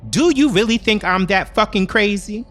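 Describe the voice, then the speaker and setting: A man's voice: high voice, moderate pace, a bit bright, soft background music, voice pushed up. A man voicing a distraught, defiant betrayed girlfriend in an audio thriller, confrontational and emphatic. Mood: angry, desperate.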